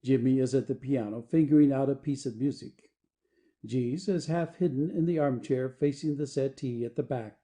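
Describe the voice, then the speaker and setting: A man reading the stage directions of an audio play as expressive scripted narration. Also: medium pitch, moderate pace.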